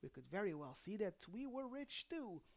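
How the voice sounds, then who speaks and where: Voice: mid-range voice, big pitch swings, normal speed, gravelly, darkish tone, voice pushed up. A storyteller voicing proud wooden matches from a fairy tale, boastful and pompous about their noble youth.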